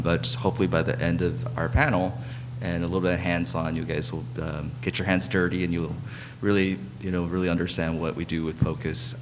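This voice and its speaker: A man moderating a medical conference panel, introducing speakers in a hesitant way with many pauses. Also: sits low, slight background noise. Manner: frequent fillers and restarts. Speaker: conference moderator, emergency physician. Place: conference hall.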